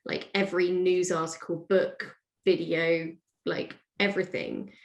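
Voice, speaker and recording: A woman's voice: on the low side, level tone, unhurried, dull audio. A woman, chatting casually as a podcast guest and explaining things. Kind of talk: casual interview chat, explaining. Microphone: own microphone on remote call. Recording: roomy, echoey sound.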